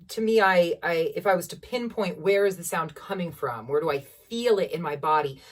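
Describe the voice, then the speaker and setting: A young woman with a generally low voice, teaching a beginner singing lesson from a keyboard at home and encouraging students as she explains. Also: distant mic. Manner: encouraging, demonstrates vocal sounds between explanations. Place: small room at home, seated at a keyboard.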